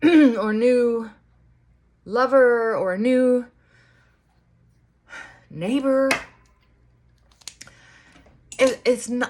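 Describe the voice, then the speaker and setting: A woman vlogger talking in casual, rambling chit-chat, reflective and halting, often trailing off and circling back. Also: a little high, darkish tone.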